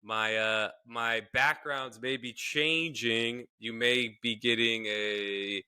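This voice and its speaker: A man's voice: medium pitch, steady tone, takes it slowly, sharp tone, smooth. A young adult man co-hosting a casual podcast chat, laid-back, laughing often and repeating his phrases. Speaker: podcast co-host. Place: home setup, remote recording.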